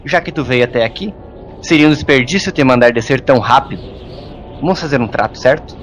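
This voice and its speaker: A man playing a grumpy, sarcastic hermit monk in an audio drama, gruff and mocking in a colloquial way. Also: mid-range voice, brisk, slight background noise, natural voice. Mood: sarcastic, grumbling.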